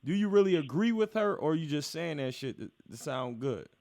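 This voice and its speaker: A man's voice: on the high side, expressive, brisk, a little mellow. A man hosting a podcast, steering the talk with a teasing, profane, playful manner and frequent interruptions. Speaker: podcast host. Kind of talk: casual podcast chat.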